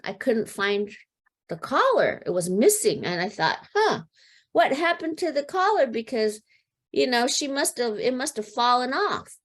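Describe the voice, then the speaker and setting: Middle-aged woman guest, earnest storyteller, halting and filler-heavy, mid-pitched. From United States. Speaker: podcast guest. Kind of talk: casual storytelling.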